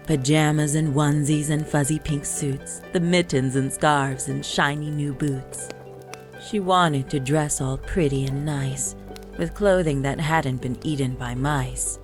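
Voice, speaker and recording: A woman storyteller reading a rhyming Christmas poem in a measured, lilting delivery. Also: deep voice. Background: music.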